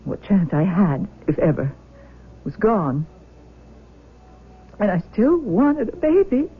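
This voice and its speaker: Anguished older mom, raspy breathy voice, emotional, old radio drama, muffled lo-fi sound. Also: female voice, medium pitch, big pitch swings, exaggerated voice. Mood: sorrowful confessing. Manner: halting, emotional, repeating words. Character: anguished, tender older adoptive mother. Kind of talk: 1970s radio drama acting. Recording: dull lo-fi old radio broadcast.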